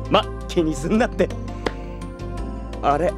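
An actor playing a cocky, irreverent young police detective in a Japanese audio drama, brash and slangy. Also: male voice, fairly high pitch, lively intonation, takes it slowly, music in the background. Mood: friendly teasing.

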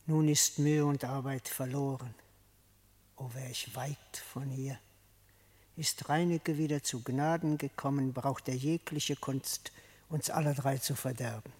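A man's voice: mid-range voice, fairly even intonation, unhurried, a little mellow, lightly acted. An actor playing a wounded, fearful male wolf who despairs at his enemy's pardon. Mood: despairing. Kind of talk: classic radio play acting. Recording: long hall reverb.

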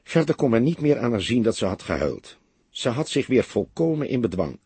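A man's voice: medium pitch, big pitch swings, fairly quick, warm tone, breathy edge, no room sound. A man reading a detective novel as an audiobook, in dramatic scripted narration.

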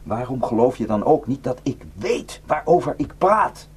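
An actor playing a haunted, guilt-ridden male defector in a Dutch radio drama, speaking in a halting, intense and bitter way. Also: medium pitch, sing-song, husky. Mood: bitter, desperate. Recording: noisy radio play recording with hum.

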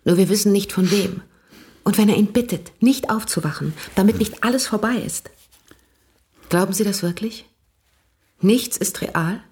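An actress playing a candid, gently skeptical young married woman in a radio play, speaking in a measured, direct, quietly ironic way. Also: medium pitch, close to an everyday voice. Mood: searching, serious. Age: young adult.

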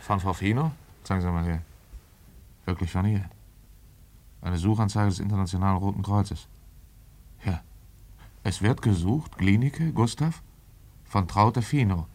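A reasonable mediator in a German radio drama. His voice sits on the low side, and he talks in a measured, soothing way as he urges others. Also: darkish tone. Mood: sober, questioning. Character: reasonable, calming mediator urging the truth.

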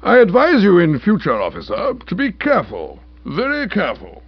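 A man's voice: on the high side, sing-song, brisk, vocal fry, husky, dry recording, highs cut off, full character voice. A man voicing a pompous, blustering older British lord and magistrate who speaks in orotund, long-winded sentences. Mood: outraged.

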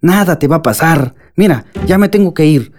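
Man as reassuring schemer, slightly high voice. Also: expressive, normal speed, a little mellow, lightly acted. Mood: falsely soothing. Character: smooth, reassuring male schemer. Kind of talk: radionovela acting.

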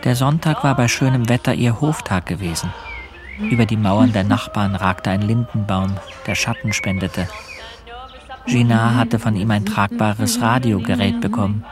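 A man's voice: fairly low pitch, expressive, normal speed. Male radio-play narrator over music. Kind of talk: scripted literary narration with inner monologue.

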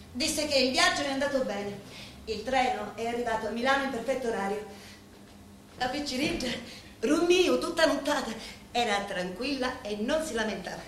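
An actress playing a deferential, devoted Sicilian woman who serves a local boss, speaking a reverent, deliberate stage monologue with a Sicilian accent. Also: high voice, a bit bright. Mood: dutiful reporting. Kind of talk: theatrical dramatic monologue in dialect. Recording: very echoey room, faint background noise.

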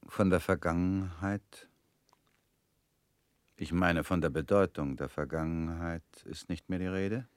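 An actor in a radio play voicing a reclusive former political defendant, calm, quiet and guarded. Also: male voice, deep voice, unhurried, pauses often, clean tone, dry recording.

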